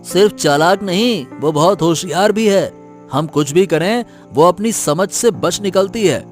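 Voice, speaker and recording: A man's voice: high-pitched, measured pace, soft background music. A man playing an obedient, wary henchman in a cartoon, deferential and conspiratorial. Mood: conspiring, wary.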